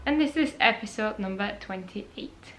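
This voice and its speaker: Slow-talking Austrian woman, recovering from a cold. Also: mid-range voice, lively intonation, loud music behind the voice. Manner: chatty, unhurried, with many ums and repeated words. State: recovering from a cold, voice not fully healed. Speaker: knitting podcast host. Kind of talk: casual chat to camera. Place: home room by a sunny window.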